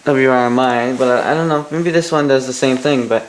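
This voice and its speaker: A man's voice: medium pitch, brisk, low-quality recording. A Canadian man giving a casual, rambling hobbyist product review, with grumbling asides and mild swearing.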